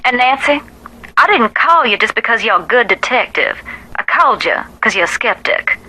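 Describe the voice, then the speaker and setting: A woman's voice: on the high side, very animated, normal speed, sharp tone, full character voice, low-quality recording. An actress playing a grave, superstitious woman in a video game, earnest, folksy and cautioning, heard through a telephone filter. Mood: grave, urgent. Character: grave, superstitious woman urgently asking for help.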